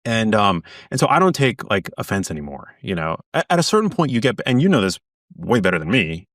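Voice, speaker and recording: A man's voice: lowish voice, very animated, fairly quick, fairly bright tone, dry recording, studio quality, far from the mic. A man guesting on an interview podcast as an author, talking earnestly in bursts with frequent restarts. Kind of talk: casual interview chat.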